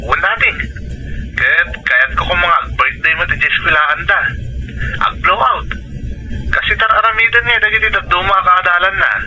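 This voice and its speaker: A man's voice: sits high, brisk, sharp tone, a little hiss or hum, rough recording. A man playing a needy older brother asking his sister for money, with a Filipino Ilocano accent, in audio drama dialogue. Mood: coaxing.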